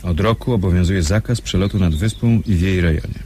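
Brisk, impatient officer for radio drama, male actor, low-pitched, curt, over audible background noise. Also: a little mellow, lightly acted. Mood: businesslike. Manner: curt, businesslike. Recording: noisy recording with background ambience.

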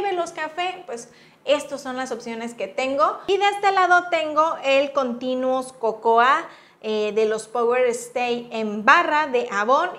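A woman's voice: high-pitched, a bit bright. A woman with a Mexican accent giving a casual, chatty makeup review as a beauty vlogger, informal and full of affectionate diminutives. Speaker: beauty vlogger, channel host.

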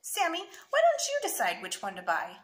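A woman voicing a patient, gentle storybook mother who reasons kindly with her young boy. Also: extremely high pitch, huge pitch swings, normal speed, pitched above the performer's own voice, exaggerated voice. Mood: cheerful. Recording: roomy echo, faint noise.

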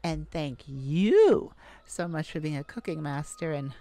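A tender, devoted mage acted by a woman speaks gently and affectionately in an ASMR roleplay. The delivery is very unhurried, on the low side, with a creaky edge. Endearments come softly and often, as to a beloved mate. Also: animated, no room sound. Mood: cheerful, grateful. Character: tender, caring mage gardener devoted to their werewolf mate. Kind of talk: ASMR roleplay audio drama.

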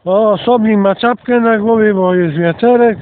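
A high-pitched man with a congested cold narrates his outdoor walk in a casual, meandering, hesitant way. The sound is thin and phone-like, with loud noise all around him. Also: normal speed. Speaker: host and narrator of an amateur outdoor audio recording. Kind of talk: casual rambling commentary while walking. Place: outdoors, walking along a rural path.

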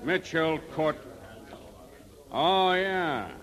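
A man's voice: on the high side, animated, drawn-out words, fairly bright tone, full character voice. An American man playing a bullying, bossy labour overseer in a 1950s radio drama. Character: bullying, gruff-tempered labour camp overseer.

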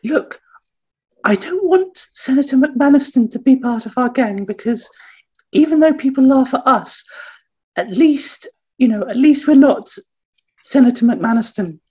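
Improv comedy senator, female performer: earnest, image-conscious, high mellow voice, pleading repetitions. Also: lively intonation, unhurried, husky, exaggerated voice. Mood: pleading, exasperated. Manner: pleading, repeating herself.

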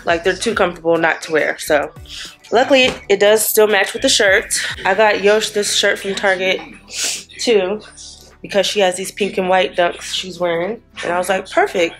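A woman's voice: mid-range voice, moderate pace, a bit bright, faint music behind. A woman in her thirties chatting casually to camera in a hotel room, teasing and telling stories with quoted asides. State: sniffly. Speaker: vlogger and mother. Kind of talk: casual vlog chat to camera.

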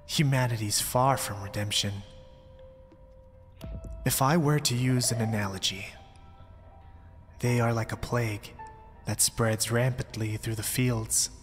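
A man's voice: medium pitch, natural voice. A man playing a mysterious young zealot, polite, pompous and persuasive, in an ASMR roleplay drama. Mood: polite, grandiose. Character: mysterious, smooth-talking young male zealot cult recruiter. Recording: long reverb, background music. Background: music.

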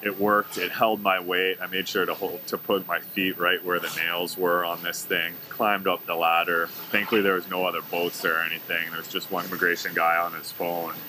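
A man in his forties from Canada tells a travel story in an easygoing, animated way. His voice sits very low and relaxed. It's recorded outdoors on a small clip-on mic with clear background noise. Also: brisk, hardly any pauses. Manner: relaxed, chatty, animated storyteller with lively asides. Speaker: adventure vlogger. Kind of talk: casual travel vlog storytelling. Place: outdoor seaside cafe and on the water in a kayak.

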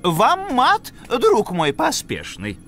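A man's voice: very high-pitched, slow pace, exaggerated voice. A man voicing a courteous, erudite middle-aged elk scientist in a children's cartoon, with ornate, formal phrasing. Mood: courteous, chiding. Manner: ornate, formal, expressive speech. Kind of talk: children's educational cartoon acting. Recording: loud music bed behind.

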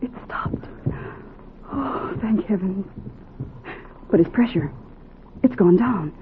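Anxious nurse on tinny old radio. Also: female voice, medium pitch, lively intonation, slow pace. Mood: anxious, praying. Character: anxious, dutiful female operating-room nurse. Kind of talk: 1940s radio drama acting. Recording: tinny, noisy 1940s radio recording.

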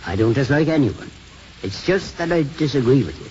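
An actor playing a stubborn, sulky elderly Irish priest in 1950s radio style, halting and repeating his words. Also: medium pitch, lively intonation, darkish tone, close to an everyday voice. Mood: stiff, wounded. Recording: muffled, noisy 1950s radio broadcast.

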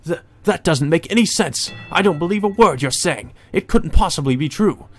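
A man performs a distraught young brother of noble house in a game, his pitch pushed up in disbelief. He stammers indignantly and defends himself over faint music. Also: expressive, moderate pace. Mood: shaken, defensive. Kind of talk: acted reading of a visual novel game.

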